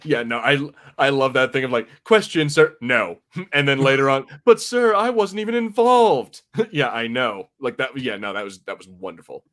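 A man with a mid-pitched voice co-hosts a podcast in casual conversation. His delivery is informal and jokey, with stop-and-start phrasing. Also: moderate pace, fairly bright tone. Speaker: podcast host reviewing TV. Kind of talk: casual chat.

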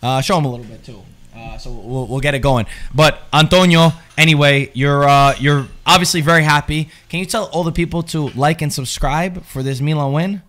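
A man with a slightly high voice hosts a live sports podcast from a studio. His delivery is casual and conversational, full of banter with his co-hosts. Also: brisk, few pauses, fairly bright tone. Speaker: podcast host.

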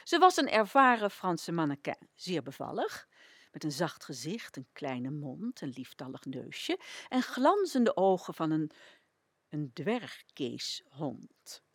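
Unhurried, lively woman storyteller. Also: deep voice, husky, dry recording, studio quality. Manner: expressive, deliberate storytelling. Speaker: narrator. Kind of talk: radio play story narration.

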